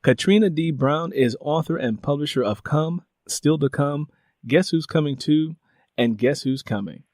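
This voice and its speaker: A man hosting an interview podcast, speaking in drawn-out, emphatic phrases. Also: fairly high pitch, lively intonation, darkish tone, no room sound, studio quality.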